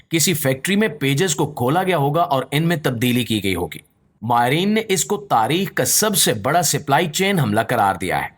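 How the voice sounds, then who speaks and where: A man's voice: on the high side, fairly bright tone. A man reading a scripted news explainer in a formal manner, addressing viewers directly. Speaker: news explainer video narrator.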